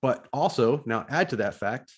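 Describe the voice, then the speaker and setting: A man's voice: mid-range voice, animated, moderate pace, slightly rough. A middle-aged man hosting a podcast, explaining his ideas in a casual monologue and often restarting phrases as he checks his notes. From United States. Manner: thinks aloud, often restarting phrases while reading from notes. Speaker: podcast host.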